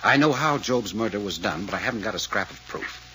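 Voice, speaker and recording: A man's voice: lowish voice, lively intonation, a little fast, bright, forceful tone. A man acting a terse, authoritative, secretive detective in a 1940s-style radio serial, on an old noisy recording. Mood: grim, decisive. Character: terse, commanding male detective laying a trap.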